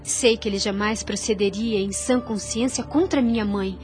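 A woman's voice: mid-range voice, normal speed, close to an everyday voice. A woman playing a devout, self-sacrificing young woman in a radio soap opera, with earnest, formal, literary delivery. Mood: resolute, pious. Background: music under the voice.

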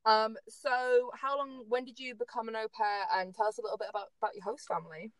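A woman's voice: on the high side, fairly even intonation, quick pace, dry recording, rough recording. A young woman co-hosting a podcast, upbeat and bubbly as she asks the guest questions. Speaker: podcast co-host. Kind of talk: casual chat.

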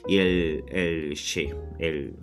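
Low-voiced man, guitar music behind. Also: steady tone, very slow. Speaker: podcast co-host. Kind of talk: casual podcast chat.

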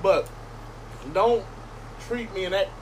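A man's voice: sits high, takes it slowly. A man talking in a casual group chat, lecturing and asking for agreement. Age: older than the others. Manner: preachy, repeats "you hear me?". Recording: noisy background.

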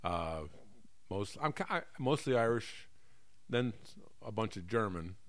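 Animated, low-voiced middle-aged American man. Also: unhurried. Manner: animated, rambling, self-correcting. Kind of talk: casual comedic chat.

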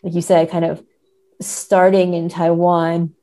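Woman, low creaky voice, thoughtful scholarly podcast guest, conversational delivery. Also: little pitch movement, a little slow, dark tone. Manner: thoughtful, frequent hedging and tag questions. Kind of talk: conversational literary discussion.

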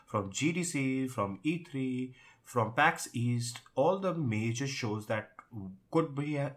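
A man's voice: medium pitch, lively intonation, slow. A man co-hosting a casual discussion about tech and gaming, speaking in an opinionated, emphatic way. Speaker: podcast co-host.

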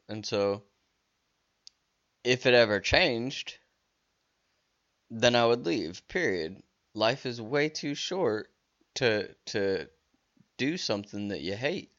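A man's voice: on the low side, fairly bright tone, lo-fi sound. A man hosting a casual solo podcast, rambling with many restarts and fillers while he is losing his voice. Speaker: podcast host.

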